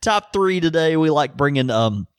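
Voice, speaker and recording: A man's voice: fairly high pitch, lively intonation, moderate pace, smooth, no room sound, clean studio sound. A man from the Southern United States co-hosting a podcast, rambling with many fillers and restarts. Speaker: podcast co-host. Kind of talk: casual podcast banter.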